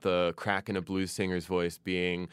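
A man's voice: sits low, little pitch movement, a little slow, clean tone, dry recording, clean studio sound. A man whose first language is Farsi, a poet speaking reflectively as an interview guest and often checking in with "right?". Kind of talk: conversational interview.

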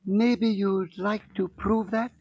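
A menacing East Indian smuggler in a 1940s radio serial. His voice is high and husky, dryly recorded, with deliberate, formal and taunting phrasing. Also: steady tone, darkish tone, no room sound, muffled recording, heavily acted. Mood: smug menace. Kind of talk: 1940s radio drama acting.

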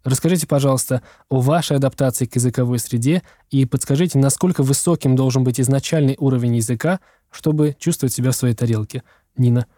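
Man, interviewer, formal, mellow voice, medium pitch, dry mic. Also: quick pace, no echo. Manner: formal, read-out questions. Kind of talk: scripted interview questions.

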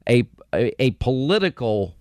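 A man's voice: medium pitch, unhurried, no room sound. Dry-sounding talk radio host, a man. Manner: mocking, incredulous, animated when quoting. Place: radio studio.

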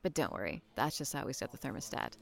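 A woman's voice: a bass voice, very animated, fast, vocal fry, exaggerated voice. A woman voicing a sardonic young barista who teases her regulars with dry wit, in an audio drama. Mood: wry. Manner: wry, playful teasing. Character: sardonic young nonbinary barista, teasing and witty.

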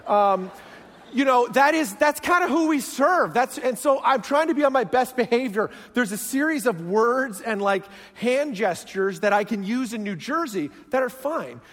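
A man's voice: very high voice, lively intonation, moderate pace, fairly bright tone. A man with a Canadian accent, preaching as a guest pastor in a self-deprecating, joking way. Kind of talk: humorous sermon. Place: large church sanctuary. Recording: long church hall reverb.

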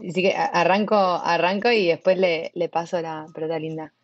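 An Argentine woman talking as a guest and product lead in a relaxed interview, fairly fluent with small restarts. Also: fairly low pitch, fairly quick, muffled recording. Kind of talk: casual interview talk.

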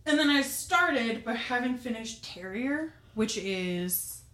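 A young woman co-hosting a podcast in casual chat, a little hoarse and wheezy, talking with plenty of ums and likes. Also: on the high side, lively intonation, slow, a bit bright. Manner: chatty, lots of "um" and "like". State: losing her voice, wheezy. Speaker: podcast co-host.

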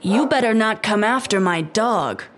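An actress playing a defiant neighbor who is sarcastic and defensive, in an audio drama. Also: female voice, medium pitch, lively intonation, unhurried, fairly bright tone. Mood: defensive, irritated. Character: defiant, sharp-tongued female neighbor and dog owner.

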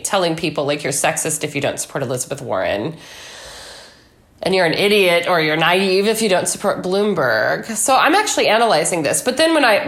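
A woman's voice: medium pitch, fairly bright tone. A woman guest giving her opinions in a casual political discussion, conversational and often acting out what other people say. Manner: conversational, opinionated, quotes others in mock dialogue. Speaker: guest author and commentator. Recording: echoey room.

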